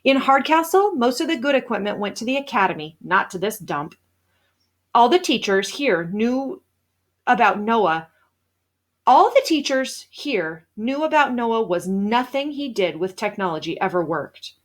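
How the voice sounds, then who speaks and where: A woman narrating a children's novel aloud in an expressive, storytelling style. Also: fairly high pitch, unhurried. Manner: animated, acts out dialogue.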